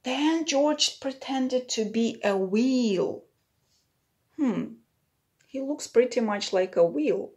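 A middle-aged woman reads a children's storybook aloud at an unhurried pace. Her voice sits fairly high and has a noticeable creak. She pauses to explain simple words and ask the young listeners questions. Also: animated, a little mellow. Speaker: children's teacher reading aloud. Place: small plain room indoors.

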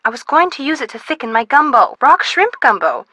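Bubbly young friend, chatty and excitable; bright, dry studio read. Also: female voice, sits high, fairly quick, no echo, heavily acted. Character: bubbly young woman friend who loves cooking. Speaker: game voice actor. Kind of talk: video game voice acting over a phone call.

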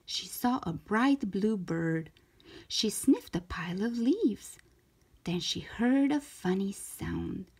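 A woman narrating a children's story aloud in an expressive, careful storytelling manner, as a storytime host. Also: medium pitch, slow pace, husky. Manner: animated, careful storytelling delivery.

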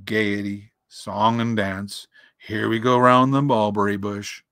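A man's voice: lowish voice, slow, no echo, studio quality, pitched below the performer's own voice. A man voicing a gloomy, self-pitying old grey donkey from a storybook, with a glum, resigned delivery. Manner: glum, sighing, flat delivery.

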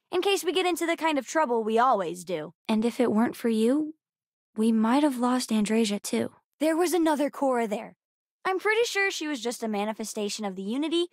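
A woman voicing a bright, bookish, sassy young girl in a video game, earnest, chatty and precocious. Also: fairly high pitch, no room sound, clean studio sound. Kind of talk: video game character dialogue.

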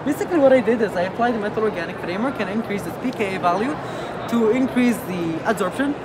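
A man's voice: high voice, quick pace, a loud crowd. A young man from Saudi Arabia, a science fair student, explaining his project enthusiastically with frequent laughs. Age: young adult. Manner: giggly, enthusiastic. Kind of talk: casual interview. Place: large convention hall. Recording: loud hall noise.

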